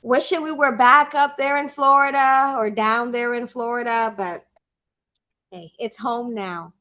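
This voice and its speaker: A woman's voice: a little high, fairly even intonation, a little slow, pushed and bright. A woman in her late twenties from the Caribbean, a podcast guest talking casually and chattily with plenty of fillers. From Caribbean, Saint Croix and Puerto Rico. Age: 20s. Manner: chatty, laughs easily, many fillers. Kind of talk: casual interview conversation.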